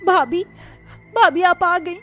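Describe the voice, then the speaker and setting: A woman's voice: very high voice, takes it slowly, bright, forceful tone, soft background music, pitched above the performer's own voice, heavily acted. A woman voicing a meek, remorseful young bride in a cartoon story, pleading and emotional. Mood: tearful, pleading. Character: remorseful, meek young bride, once arrogant, now tearful.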